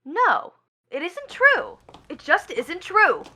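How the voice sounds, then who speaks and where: A woman's voice: very high-pitched, wildly swooping pitch, slow pace, sharp tone, exaggerated voice. A woman playing a bitter, mocking young stepdaughter in a stage drama, with a taunting, theatrical delivery. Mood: insistent, scornful. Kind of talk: theatrical stage play acting.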